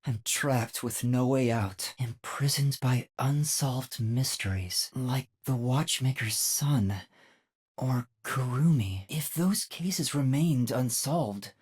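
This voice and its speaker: A woman's voice: rumbling low, takes it slowly, darkish tone, husky, heavily acted. A woman voicing a timid, earnest, anxious young male trainee detective in a video game, hesitant and self-doubting in manner. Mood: dejected, unsure.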